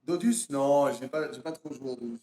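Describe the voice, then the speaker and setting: A man's voice: medium pitch, moderate pace, warm tone, reverberant. A man with a slight Arabic accent who learned French at school, bantering playfully and goofily on a livestream. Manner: playful, goofy, joking. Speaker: co-streamer.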